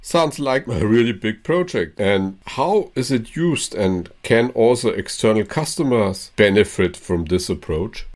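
Upbeat guy hosting a company interview, lowish voice, lots of praise. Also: expressive, measured pace, few pauses, a little mellow. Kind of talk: corporate interview.

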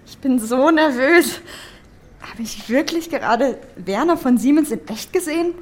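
A woman playing a curious, excitable young time traveler in a scripted audio drama. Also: high-pitched, unhurried. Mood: amazed, nervous.

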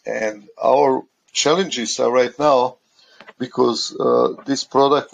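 Gravelly middle-aged man. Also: medium pitch, rough recording. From Croatia. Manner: halting, searching for words in non-native English. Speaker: guest, asset condition manager. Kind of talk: conversational podcast interview.

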